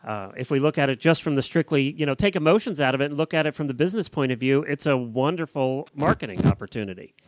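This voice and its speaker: A man's voice: mid-range voice, expressive, quick pace, few pauses, poor audio. A man speaking casually as the host of a radio-style talk show. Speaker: podcast host.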